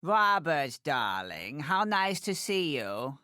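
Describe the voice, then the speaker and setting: Game role: haughty little middle-aged woman designer, theatrical, wildly animated pitch, sharp bright tone. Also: takes it slowly, smooth, no room sound, studio quality, exaggerated voice. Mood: gracious, doting. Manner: haughty, dramatic, clipped delivery with affectionate condescension. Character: tiny, imperious, theatrical middle-aged female fashion designer for superheroes. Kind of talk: video game character voice lines.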